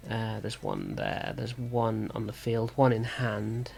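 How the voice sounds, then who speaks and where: Man with a sore, slightly rough voice. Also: fairly low pitch, little pitch movement, slow pace, darkish tone. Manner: thinking aloud. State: sore throat. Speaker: gaming video host. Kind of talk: casual card game commentary.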